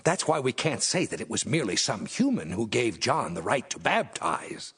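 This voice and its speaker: Husky, swooping, suspicious male priest. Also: medium pitch, very animated, moderate pace, fairly bright tone, exaggerated voice. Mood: wary scheming. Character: suspicious, challenging male chief priest and religious leader.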